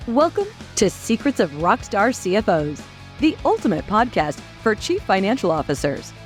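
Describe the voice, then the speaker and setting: Woman doing the show intro, bouncy lively voice, faint music behind. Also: medium pitch, moderate pace. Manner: upbeat and promotional. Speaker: show announcer. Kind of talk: scripted intro narration.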